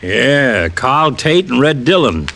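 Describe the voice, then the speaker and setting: An American actor playing a businesslike, friendly police lieutenant in an old-time radio detective drama, plain-spoken and informal. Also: male voice, medium pitch, sing-song, slow, creaky, exaggerated voice. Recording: old radio recording, faint noise.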